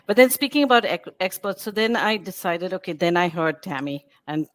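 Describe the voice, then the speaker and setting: A middle-aged woman with British-learned English telling stories as an interview guest, animated and full of quoted asides. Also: lowish voice, brisk. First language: English learned in British form, also speaks Hindi. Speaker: guest, bilingual book creator. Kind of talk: casual interview chat.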